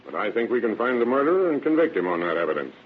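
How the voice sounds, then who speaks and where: An actor playing a confident, dogged sheriff in an old radio drama, firm and matter-of-fact. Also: male voice, on the low side, big pitch swings, very fast, slight background noise. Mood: confident, brisk. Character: confident, dogged male sheriff, brisk and authoritative. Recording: tinny narrow-band vintage radio recording.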